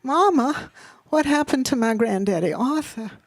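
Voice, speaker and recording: A woman voicing an eager, imaginative eight-year-old girl with a high, excitable little voice. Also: measured pace, no echo, heavily acted. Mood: frightened, upset.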